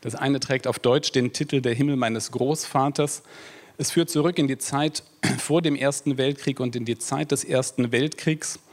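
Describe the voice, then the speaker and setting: A man from Germany, a journalist moderating a panel discussion, who introduces the guest formally and in measured phrases. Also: mid-range voice, fairly even intonation, a little mellow.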